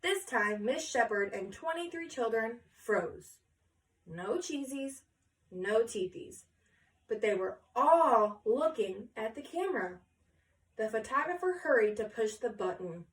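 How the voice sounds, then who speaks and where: A woman reading a picture book aloud to children in an expressive storytelling style. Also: a little high, unhurried. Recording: echoey room, distant mic.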